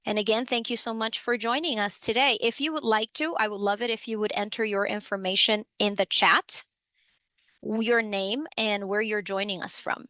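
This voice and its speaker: A woman's voice: medium pitch, steady tone, normal speed, sharp tone, dry recording, studio quality. A woman from the Dominican Republic presenting an educational webinar in a friendly, upbeat way, with frequent fillers, on a computer microphone. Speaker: webinar presenter, program supervisor.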